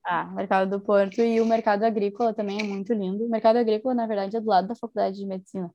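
A young woman chatting in a relaxed interview. She talks with enthusiasm, looping back with little fillers as she goes. Her voice sits at an ordinary middle pitch. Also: rapid-fire, lo-fi sound, slight background noise. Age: young adult. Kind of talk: casual conversation.

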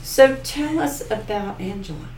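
A woman's voice: medium pitch, animated, a little slow, a little mellow. A woman from rural Missouri hosting a casual interview, folksy and self-deprecating, asking short questions. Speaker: podcast host. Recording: echoey room, mic far off, faint noise.

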